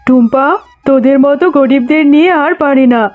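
Cartoon tigress aunt, played by a woman: high voice, haughty, stingy, scornful, music underneath. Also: steady tone, brisk, darkish tone, close to an everyday voice. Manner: scornful, grudging. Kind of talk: cartoon voice acting.

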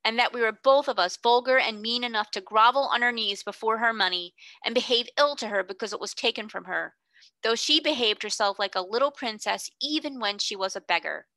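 A woman's voice: expressive, moderate pace, bright, forceful tone, dry recording. A woman reading voices a timid middle-aged schoolmistress who breaks into tearful hysterics. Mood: hysterical. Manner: tearful, pleading, rising to shrill outbursts.

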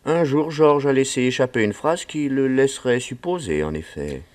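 A man playing a polite, cautious reverend in a French radio play, speaking gently and piously. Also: medium pitch, expressive, normal speed, natural voice. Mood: courteous. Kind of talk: old French radio drama acting.

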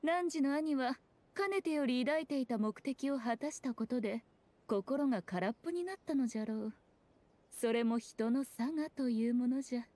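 A woman's voice: sits high, normal speed, dark tone, lightly acted. A woman voicing an elegant, teasing fox shrine maiden with aloof, archaic, lordly speech in a Japanese anime game. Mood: nonchalant.

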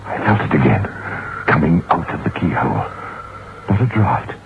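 An actor playing a troubled, curious writer who recounts his dread in a deliberate, suspenseful old-time radio horror monologue. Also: extremely low pitch, sing-song, gravelly, heavily acted. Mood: mounting fear. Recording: muffled, noisy vintage radio recording.

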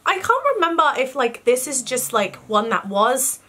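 A young woman with a croaky voice and a cough, chatting casually through a product haul in her bedroom. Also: high-pitched, sing-song, normal speed, bright, forceful tone. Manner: chatty, rambling, lots of fillers. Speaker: beauty vlogger. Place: bedroom, indoors.

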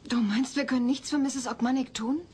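Woman as high-voiced youthful daughter. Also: steady tone, brisk, noisy background, lightly acted. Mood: curious. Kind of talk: German comedy audio drama acting. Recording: old cassette audio drama, music behind.

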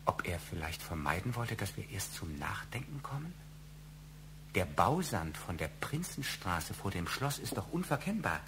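Suspicious husband in radio crime play, lowish voice swinging around a lot, intense. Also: male voice, big pitch swings, normal speed, noisy background. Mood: suspicious, agitated. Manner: intense, probing. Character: brooding, suspicious husband with wounded pride. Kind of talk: German radio crime drama acting.

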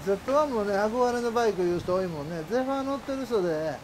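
A talkative Kansai man with a fairly high voice, chatting easily with visitors. He is recorded outdoors on a camera mic, with clear street noise and cars around him. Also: lively intonation, quick pace. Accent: Kansai Japanese. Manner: friendly, talkative, chuckling. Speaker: cafe owner chatting with riders. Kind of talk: casual chat. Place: outdoors by a cafe parking area.

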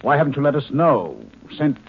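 A man's voice: fairly high pitch, sing-song, brisk. An actor playing a male scientist held captive in a 1950s radio drama, firing off urgent, incredulous questions. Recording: dull old broadcast recording, faint hiss.

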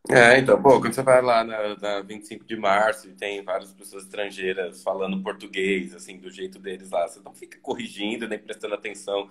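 A young man from Brazil, a former student, chatting on a video call in a relaxed, halting way with many fillers. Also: lowish voice. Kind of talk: casual video call chat. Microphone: webcam on a video call.

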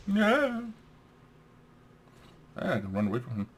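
A young man with a Danish accent, a native Danish speaker, giving casual let's play commentary, excitable, swearing and laughing at the game as he plays. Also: mid-range voice, takes it slowly, slight background noise. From Denmark.

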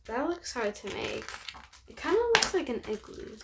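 A young teenage girl reading a picture book aloud, expressive and child-friendly, with chatty asides. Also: on the high side, normal speed. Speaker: host of a read-aloud channel. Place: bedroom, sitting on a bed. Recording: clear background noise.

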